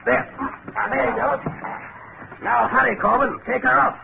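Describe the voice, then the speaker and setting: An actor playing a scheming, commanding spy in a 1930s radio drama. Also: male voice, very high-pitched, fairly even intonation, normal speed, vocal fry, exaggerated voice. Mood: urgent. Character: scheming male spy posing as official visitor. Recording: noisy, narrow-band 1930s radio recording.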